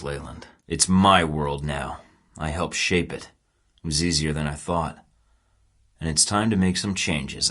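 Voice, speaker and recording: A hardened spy from a video game, terse and sardonic, with a very deep, low voice. He talks in cold, clipped threats and dry jabs. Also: a bit bright, husky, exaggerated voice. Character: hardened male spy in his thirties, defiant. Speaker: game protagonist, secret agent.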